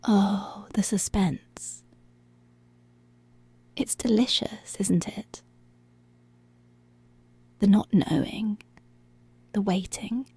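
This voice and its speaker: Policewoman, played by a woman: commanding, teasing, seductive ASMR roleplay. Whispery with creaky edges, deliberate and unhurried. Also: medium pitch, a little mellow, dry recording, exaggerated voice. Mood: teasing, controlling. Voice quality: breathy, whispery. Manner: slow, deliberate, controlling, drawn-out phrases.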